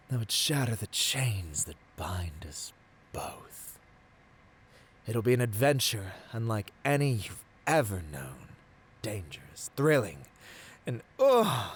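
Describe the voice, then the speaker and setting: A man acts out a tempting male villain in an intimate ASMR roleplay. The voice is low and very slow, swinging widely in pitch with theatrical, coaxing menace and slipping often into whispers. There is some noise behind it. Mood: excited, grand. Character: seductive male villain tempting a hero toward corruption. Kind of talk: ASMR roleplay, scripted monologue.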